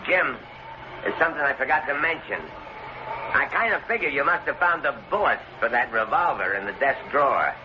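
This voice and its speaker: A man's voice: medium pitch, lively intonation, brisk, natural voice. Sly folksy radio villain played by a man. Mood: casually taunting. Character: sly, taunting male captor with a folksy manner. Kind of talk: old-time radio drama acting. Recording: noisy, muffled old radio broadcast recording.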